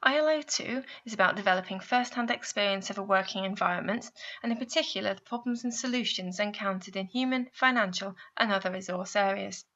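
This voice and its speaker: Bright-voiced woman narrating guidance. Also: mid-range voice, fairly quick, few pauses, dull audio. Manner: clear, explanatory, addressing the listener with guiding questions. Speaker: university placement adviser narrating a guidance video. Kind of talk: scripted instructional narration.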